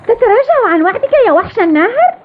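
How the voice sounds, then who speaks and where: A woman voicing a brave, clever young boy adventurer in a cartoon dub, earnest and excitable. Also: very high voice, fast talker, a little hiss or hum. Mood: triumphant, challenging. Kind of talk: dubbed cartoon voice acting in formal Arabic. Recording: tinny phone-like old TV dub, loud music.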